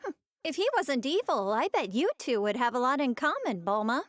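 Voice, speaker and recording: A woman's voice: sits high, very animated, normal speed, no room sound. An actress voicing a sweet, curious young woman in an anime game, musing in a good-natured way. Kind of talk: scripted game voice lines.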